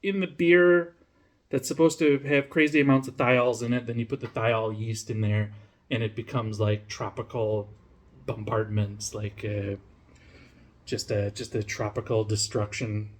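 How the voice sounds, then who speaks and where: A man's voice: lowish voice, little pitch movement, measured pace. A man casually reviewing a beer on camera, rambling with lots of fillers, restarts and swearing, and poking fun at himself. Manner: rambling, self-mocking, profane, many fillers and restarts. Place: home room, lives in Denmark.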